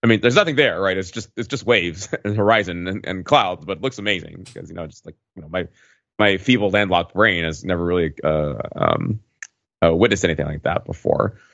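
Low-voiced man, halting podcast talk. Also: expressive, vocal fry, fairly bright tone, dry recording, dull audio. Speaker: podcast panelist. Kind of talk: casual conversational storytelling.

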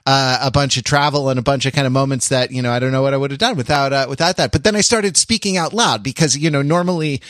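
A man's voice: medium pitch, fairly bright tone, dry recording. Dry-sounding, rambling man chatting. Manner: rambling, halting with repeated phrases. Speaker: podcast host. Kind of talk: casual chat.

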